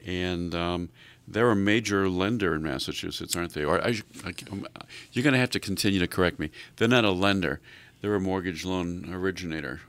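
A low-pitched man hosts an interview show. He sums up what his guest has said and follows it with leading questions. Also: a little fast, fairly bright tone, clean tone. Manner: restates and asks leading questions. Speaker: show host.

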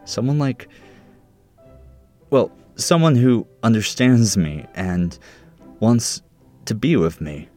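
A lonely, hopeful soul speaks slowly and hesitantly in a fairly low voice, as a man puts it on. Faint music plays underneath. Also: mellow. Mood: wistful. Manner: slow, hesitant, wistful. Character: lonely hopeful person leaving a dating ad.

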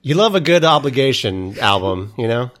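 A man co-hosting a casual podcast who speaks quietly and haltingly, with dry humor. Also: sing-song. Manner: low-key, hesitant, dry humor. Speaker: podcast co-host.

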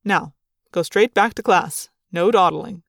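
A woman narrator takes on a middle-aged male school official for a storybook. He sounds earnest and measured, pitched low for the reader, with a patronizing, probing drone. The recording is dry and close. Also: gravelly, fairly bright tone, dry recording. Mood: brisk, patronizing.

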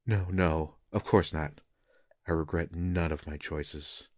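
A man's voice: sits low, fairly even intonation, takes it slowly, darkish tone, slightly rough, no room sound, exaggerated voice. An earnest, troubled musician and husband in a fantasy audiobook, acted by a man. His speech is restrained and sincere, recorded very dry and close. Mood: reassuring. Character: earnest, troubled married male Japanese flute musician. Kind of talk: audiobook character dialogue.

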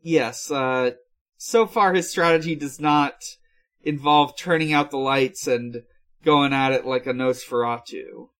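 A man hosting a podcast, giving a casual recap in a halting, hesitant way. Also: mid-range voice, fairly bright tone. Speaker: podcast host. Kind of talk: casual episode recap chat.

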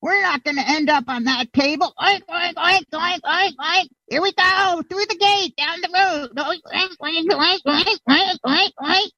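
A woman's voice: extremely high pitch, a little slow, sharp tone, no echo, heavily acted. A woman voicing a frantic, rebellious pig in an improvised comic story. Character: frantic rebellious oinking pig, rallying the animals. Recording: dull lo-fi audio.

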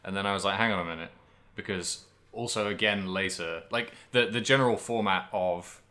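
A man's voice: lowish voice, a little fast, pushed and bright. A man co-hosting a podcast, talking casually about video games in a rambling way, as if thinking aloud. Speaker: podcast co-host. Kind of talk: casual video game discussion.

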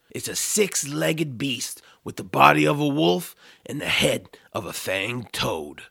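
A man's voice: mid-range voice, vocal fry, bright, forceful tone, no room sound, clean studio sound, heavily acted. A man voicing a grumpy, reclusive troll with an exasperated, grumbling manner in a family audio drama. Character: grumpy, reclusive male troll, food-obsessed reluctant hero.

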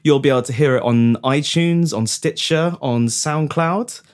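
A man's voice: fairly high pitch, measured pace. A man hosting a comedy podcast in a playful, teasing, chatty way. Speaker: podcast host and writer. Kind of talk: comedic scripted-sounding chat.

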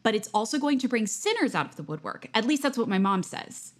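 A woman playing a zealous, nosy high school senior in an audio drama, pushy and earnest in a self-righteous way. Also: sing-song, fairly quick. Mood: scheming, self-righteous.

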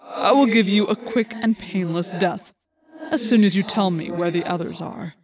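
A woman voicing a cruel male alien villain commander in a menacing, gloating, drawn-out way for a story. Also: mid-range voice, crowd noise, lightly acted. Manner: slow, drawn-out, taunting.

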